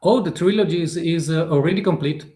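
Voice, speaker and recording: A man in his fifties with an Italian accent, an author answering interview questions haltingly and searching for words in a non-native language. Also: fairly high pitch, mellow, reverberant. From Italy. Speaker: author guest.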